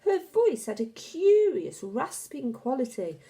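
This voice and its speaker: A woman reading a children's novel aloud as an expressive, dramatic and deliberate storyteller. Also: high-pitched.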